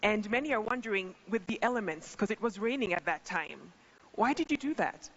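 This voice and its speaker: Woman hosting a formal panel, bright tense voice, dull lo-fi sound. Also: mid-range voice, animated, fairly quick. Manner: polished, formal addresses to dignitaries. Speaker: event moderator.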